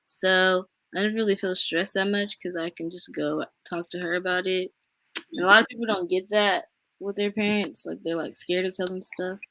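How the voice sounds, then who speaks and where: A woman's voice: lowish voice, fairly even intonation, moderate pace, a bit bright, dry recording, rough recording. Hesitant teenage girl talking on a phone. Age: late teens. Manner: casual, hesitant, many "like"s and "um"s. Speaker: guest.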